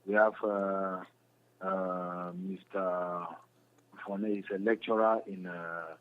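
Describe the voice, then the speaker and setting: Deep-voiced Cameroonian man on a phone-like line. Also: little pitch movement, a little hiss or hum. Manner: halting, repetitive, earnest explaining. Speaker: interview guest, stage actor. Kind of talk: radio interview answers. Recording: muffled lo-fi radio phone call.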